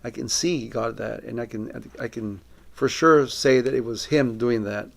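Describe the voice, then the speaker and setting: A middle-aged man from Colombia speaking as a missionary, giving a personal testimony in an earnest, reflective, deliberate way. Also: medium pitch, steady tone, gravelly.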